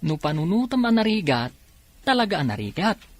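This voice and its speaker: A man's voice: high-pitched, big pitch swings, brisk. A man with an Ilocano accent playing an earnest, grateful and hopeful young factory worker in a radio drama. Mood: earnest, encouraging.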